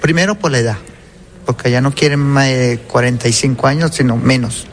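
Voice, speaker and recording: A middle-aged man tells his story earnestly in a medium-pitched voice. Faint ambient noise sits behind him. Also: fairly quick. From Venezuela. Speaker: interviewee.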